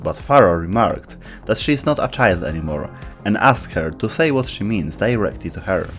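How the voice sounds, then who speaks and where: A man reading scripted narration as the narrator of a video game lore explainer. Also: lowish voice.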